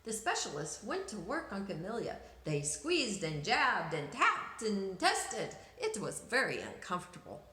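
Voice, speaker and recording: A woman reading a children's picture book aloud in an expressive, dramatic way with drawn-out emphasis. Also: medium pitch. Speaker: storyteller, teacher reading aloud. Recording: echoey room.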